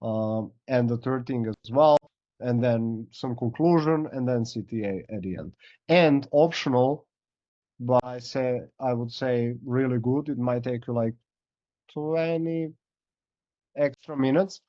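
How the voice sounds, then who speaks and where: A man speaks as an in a casual coaching call, hoarse and running low on voice. His explanations wander with "like" and "uh". The video-call sound is dry and dull. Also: measured pace, no room sound. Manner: loose, filler-heavy explaining. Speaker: coach giving feedback.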